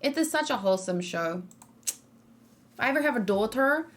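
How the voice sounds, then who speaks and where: Young woman, live streamer, casual rambling chat, fillers, slightly high voice, excited gasps. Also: normal speed. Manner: chatty, rambling, frequent "um" and "like", gasps with delight. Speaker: streamer reacting to game character.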